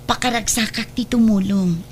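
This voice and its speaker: Woman playing a dutiful high-voiced Ilocano assistant. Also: moderate pace, a little hiss or hum, close to an everyday voice. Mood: polite, attentive. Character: dutiful, deferential female assistant to a madam. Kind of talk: radio drama acting.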